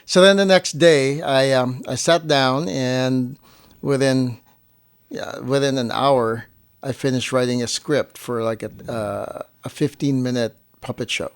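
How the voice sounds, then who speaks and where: A man's voice: mid-range voice, steady tone, unhurried, clear, resonant tone, no room sound. A man chatting casually as a guest, telling anecdotes informally and acting out the quotes. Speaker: guest on partner's family show. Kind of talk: casual chat, anecdotal storytelling.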